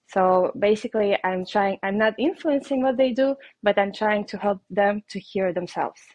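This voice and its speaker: A woman's voice: medium pitch, normal speed, a little mellow, no echo. A woman from Russia with a Russian accent, speaking English as a guest coach in an interview, hesitating often with ums. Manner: hesitant, many ums, laughs lightly. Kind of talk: casual interview answers.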